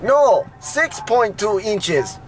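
A man in his thirties voicing a simple, earnest foreign man in halting, repetitive broken English on a prank call. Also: high voice, big pitch swings, heavily acted. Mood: insistent. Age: 30s. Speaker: prank caller, video host. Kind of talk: prank phone call improvisation. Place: inside a car. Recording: car interior with faint noise.

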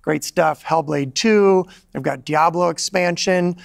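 A man's voice: fairly high pitch, a little slow, vocal fry, no room sound, studio quality. A man, a gaming company executive, talking enthusiastically on a podcast, often restarting his sentences. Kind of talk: podcast interview answers. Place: studio set.

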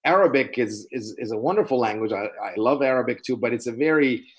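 A middle-aged man whose first language is Persian, giving an unscripted webinar lecture in a halting, earnest way with many restarts, recorded on a video call from a small room. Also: medium pitch, husky. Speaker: webinar presenter. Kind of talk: unscripted lecture answering questions. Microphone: webcam on a video call.

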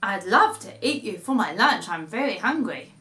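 A woman's voice: medium pitch, very animated, normal speed, sharp tone, reverberant, slight background noise, voice pushed down. A woman voicing hungry farm animals in a children's story, calling out shrilly and then coaxing. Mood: hungry, urgent.

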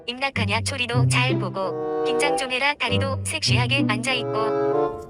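High synthetic woman's voice reading messages. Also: normal speed. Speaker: text-to-speech reader of viewer donations and chat. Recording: loud music over the voice.

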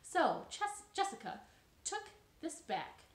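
A woman's voice: very high voice, very animated, slow pace. A young adult woman, a children's storyteller, giving a storytime for young children. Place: living room, indoors. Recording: roomy living-room sound.

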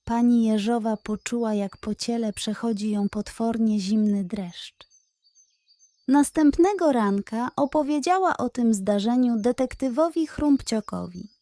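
A woman reads a children's bedtime story at a very slow pace. Her voice is on the high side, and the recording is very dry and close. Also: mellow, no room sound.

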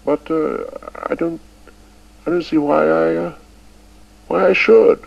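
A man's voice: on the high side, fairly even intonation, gravelly, voice pushed up, heavily acted. A man voicing an elderly male schoolmaster who stammers and halts, stubborn and fond of the old ways. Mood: flustered. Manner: stammering, halting with many uhs. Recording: dull lo-fi recording.

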